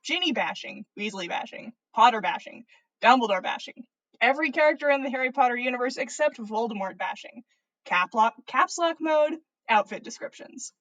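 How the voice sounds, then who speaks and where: A woman with a fairly high voice hosts a story reading. She delivers comedic fan fiction playfully, with amused, theatrical expression. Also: animated, normal speed, fairly bright tone, highs cut off. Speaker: podcast host reading listener stories.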